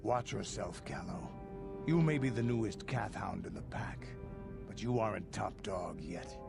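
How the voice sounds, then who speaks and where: An actor playing a tough, pragmatic male mercenary in a video game, with a gruff, blunt manner. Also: lowish voice, a little slow, over music. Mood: warning. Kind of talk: dramatic video game acting.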